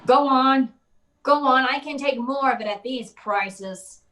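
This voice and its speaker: A woman's voice: sits high, a little slow, bright, forceful tone, slight background noise. A woman playing a cynical, wry private eye, curt and hardboiled, in an old-time radio noir drama. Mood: cold, hard bargaining.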